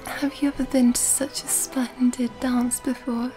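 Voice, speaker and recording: A woman playing an elegant, flirtatious vampire in an ASMR roleplay, speaking in a soft, breathy half-whisper with refined, courtly phrasing, close to the mic. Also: sits high, level tone. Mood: playful, musing. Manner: refined, old-fashioned courtly phrasing, unhurried. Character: elegant, flirtatious, mysterious female vampire at a masquerade ball. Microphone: close microphone. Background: music.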